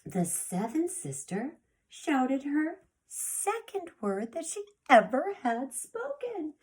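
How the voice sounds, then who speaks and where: A woman gives an expressive preschool read-aloud, drawing out her words at a slow pace. Her pitch swings widely, with theatrical asides to young listeners. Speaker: preschool teacher reading aloud. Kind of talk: expressive children's picture-book read-aloud.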